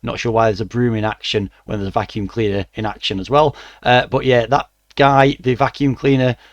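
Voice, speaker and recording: Croaky man reviewing old games. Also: medium pitch, fairly even intonation, fairly quick, no room sound, dull audio. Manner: dry, mildly wry asides with frequent "uh" fillers. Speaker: retro game reviewer. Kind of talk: relaxed spoken review.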